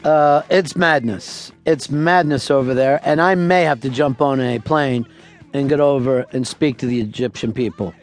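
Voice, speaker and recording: A man hosting a radio talk show, taking callers and telling absurd tall tales with a deadpan, profane delivery. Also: medium pitch, moderate pace, faint music behind.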